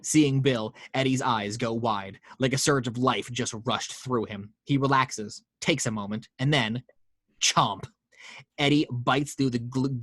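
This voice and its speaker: A man's voice: mid-range voice, no room sound. Man narrating a horror script reading. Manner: steady storytelling read with small slips. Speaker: narrator reading action lines. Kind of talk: table read narration.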